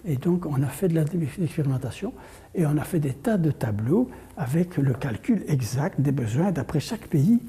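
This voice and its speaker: An older man answering interview questions with deliberate, detailed explanations, often rephrasing as he goes. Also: a little high, lively intonation, warm tone. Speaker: interview guest, language course creator.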